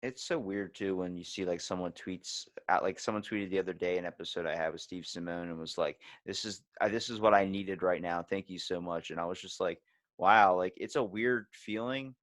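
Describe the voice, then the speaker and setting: A man's voice: low voice, fairly quick, fairly bright tone, no room sound, studio quality. A man hosting a podcast in casual, rambling conversation, informal and given to swearing. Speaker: podcast host.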